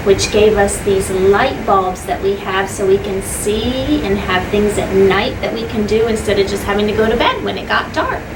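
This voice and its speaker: Woman, teacher reading to children, simple explanatory asides, repeated points, questions to listeners, distant mic, clear background noise. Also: medium pitch, roomy sound. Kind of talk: children's story read-aloud with explanatory asides.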